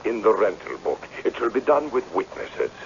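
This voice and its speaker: A man playing an authoritative, guarded gentleman landowner in a radio drama, speaking formally and with command. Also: lowish voice, big pitch swings, normal speed, gravelly. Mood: firm, calculating. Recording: muffled, faintly noisy radio broadcast.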